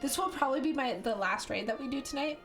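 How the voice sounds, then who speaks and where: A woman's voice: high voice, expressive, fast talker, fairly bright tone. A woman streaming a game, chatting casually in a joking, profane way. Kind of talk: casual gaming stream chat. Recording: game music behind voice.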